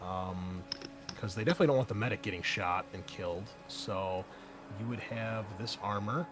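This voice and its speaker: A man running a tabletop roleplaying game, speaking informally in halting, hesitant phrases as he sets scenes and guides players. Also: lowish voice, over music. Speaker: game master.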